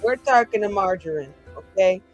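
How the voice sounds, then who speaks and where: A woman's voice: medium pitch, lively intonation, moderate pace, faint music behind. A woman playing a tabletop roleplaying game, improvising a casual message in a chatty, informal, rambling way.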